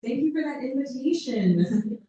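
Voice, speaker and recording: A woman moderator thanks the speaker and invites the audience to ask questions, in a gently encouraging way. Her voice is mellow. It sounds distant and roomy, as though she is far from the mic in a hall. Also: high-pitched, lively intonation, unhurried, highs cut off, slight background noise. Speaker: event moderator.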